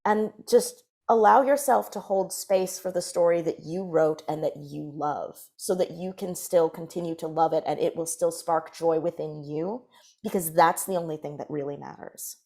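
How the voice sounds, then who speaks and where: A woman's voice: deep voice, normal speed, vocal fry, a little mellow. A woman speaking earnestly and emphatically as a panelist, giving advice in a discussion. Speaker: panelist, fiction podcast creator.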